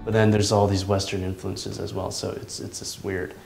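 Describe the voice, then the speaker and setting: A man in his thirties, an artist, talking reflectively in a seated interview in his studio, pausing often with ums and restarts. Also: low voice, flat delivery, gravelly, over music. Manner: thoughtful, halting, many ums and restarts. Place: large indoor art studio. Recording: echoey studio room.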